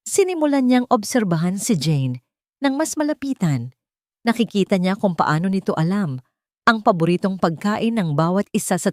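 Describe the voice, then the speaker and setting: A woman narrating a dramatic romance story in a measured, emotive storytelling manner. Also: fairly low pitch, a little mellow, dry recording, clean studio sound.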